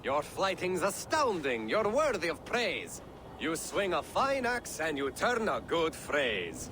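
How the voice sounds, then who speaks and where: A man's voice: on the high side, very animated, slow, fairly bright tone, slight background noise, exaggerated voice. A man playing a jovial, witty Viking poet in a video game, theatrical and lilting. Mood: theatrical, rhyming. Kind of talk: theatrical video game acting, declaiming rhymes.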